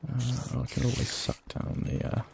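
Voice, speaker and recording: A man with a croaky, weak voice, rambling hesitantly through casual gameplay commentary with many fillers and frequent throat clearing. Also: medium pitch, monotone, normal speed, darkish tone. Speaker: let's play gamer. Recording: noisy home recording.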